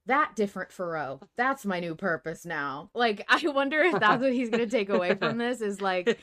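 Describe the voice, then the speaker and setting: A woman's voice: mid-range voice, lively intonation, normal speed, fairly bright tone. A woman hosting a podcast, chatting casually about fiction as a fan in a playfully dramatic way. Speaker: podcast host.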